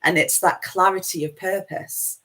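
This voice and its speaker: Low-voiced woman with a Manchester accent. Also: steady tone, moderate pace, fairly bright tone, rough recording. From Manchester, England. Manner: meandering, self-deprecating, chatty. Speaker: spiritual teacher hosting a live session. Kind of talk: rambling live spiritual talk.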